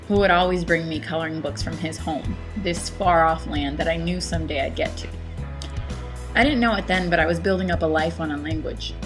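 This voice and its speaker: A young woman whose first language is not English, narrating a reflective personal essay, thoughtful, with hesitant fillers and restarts. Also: on the low side.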